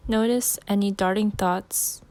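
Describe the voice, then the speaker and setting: Female meditation guide: slow, unhurried delivery, medium pitch, short phrases with pauses, dry close recording. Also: fairly even intonation, a little mellow, dry recording. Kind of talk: guided meditation, gentle spoken instruction.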